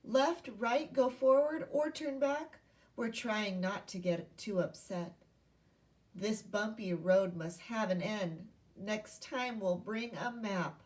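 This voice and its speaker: A woman's voice: mid-range voice, takes it slowly, darkish tone, recorded from a distance. A woman teacher giving a read-aloud lesson to young children, speaking in simple instructional phrases and asking questions. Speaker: primary school teacher.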